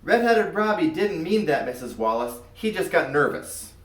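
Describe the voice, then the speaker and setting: A big, loyal schoolboy from a storybook, done by a male reader, casual and friendly. The delivery has lively swings in pitch. The sound is roomy and somewhat distant. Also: normal speed. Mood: covering up. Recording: roomy echo, far from mic.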